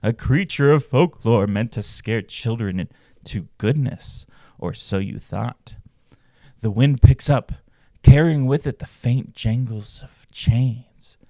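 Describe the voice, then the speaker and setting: A man hosting a podcast, reading scripted narration and stories in a measured, dramatic way. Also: mid-range voice, mellow. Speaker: podcast host and storyteller. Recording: roomy echo, faint music behind. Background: faint background music.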